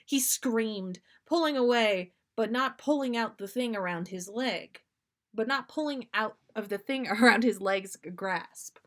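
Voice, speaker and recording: A woman reads a story aloud in a fairly high voice. She goes slowly, shaping the narration with playful drama, like a podcast host at story time. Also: a bit bright. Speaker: podcast host reading listener stories.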